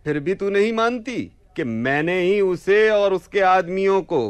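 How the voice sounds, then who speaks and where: A man playing a deluded, haughty and excitable young man in a theatrical radio play. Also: sits high, a little slow, clear, resonant tone, no room sound. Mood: haughty, indignant. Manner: indignant, pompous, excitable.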